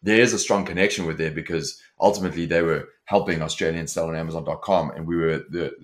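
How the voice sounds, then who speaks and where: A man's voice: low-pitched, steady tone, fast talker. A man in his forties with a South African accent, a company founder talking casually as an interview guest over a video call, with many restarts and fillers. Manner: many restarts and fillers, fluent and forward-driving. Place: home office with shelves.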